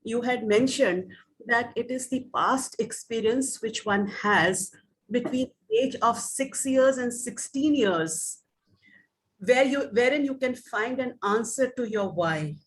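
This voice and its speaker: Polite lady asking a question on a call, real slow, slightly high voice, faint noise behind. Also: poor audio. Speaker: session participant.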